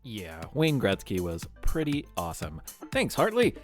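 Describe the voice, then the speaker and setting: Animated male kids' show host over music. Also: fairly low pitch, unhurried. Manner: playful, theatrical storytelling with wry asides. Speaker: podcast host. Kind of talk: scripted narration for a children's history and quiz show.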